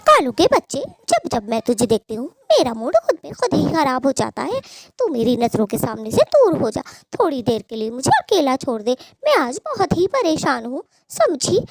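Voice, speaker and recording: A spiteful cartoon bird wife played by a woman with a very high, swooping voice. She is quick-tempered and scheming, scolding with dramatic flair in a close, dry recording. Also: huge pitch swings, dry recording, full character voice. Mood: angry, threatening. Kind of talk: Hindi cartoon story dialogue.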